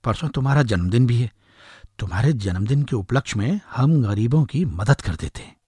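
A man playing an earnest, anxious husband and office worker in an animated story, with a husky voice. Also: medium pitch, fairly quick, dry recording, clean studio sound. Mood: grateful, earnest. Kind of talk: animated moral story voice acting.

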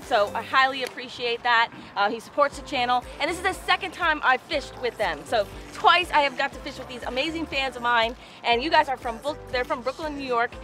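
A woman's voice: high-pitched, bright, forceful tone. A young woman hosting a fishing vlog, coaching and cheering in an upbeat way, recorded outdoors on an open boat and at a dock. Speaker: fishing channel host. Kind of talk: excited fishing vlog chat. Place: open boat at sea, then a marina dock.

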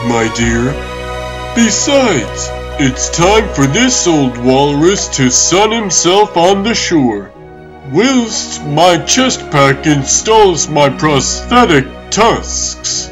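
A man's voice: fairly high pitch, big pitch swings, drawn-out words, heavily acted. A man voicing a proper, fussy old walrus uncle in a comic dub, formal and cautionary, with music behind. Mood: flustered. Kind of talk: comic-book dub acting. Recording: music under the voice.

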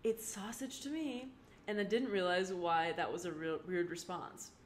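A woman's voice: fairly low pitch, normal speed, slight background noise, natural voice. A woman doing Kara, a bubbly teenage girl. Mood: playful. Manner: playful, excitable. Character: bubbly teenage girl best friend, teasing.

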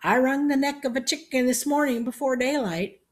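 A woman's voice: high-pitched, normal speed, pitched above the performer's own voice, natural voice. A woman voicing a tiny, ancient, feisty old soldier in a storybook, piping and eager.

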